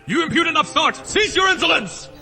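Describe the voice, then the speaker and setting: An actor dubbing a brash young male challenger who shouts haughtily. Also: extremely high pitch, lively intonation, fairly quick, vocal fry, faint music behind, heavily acted. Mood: haughty.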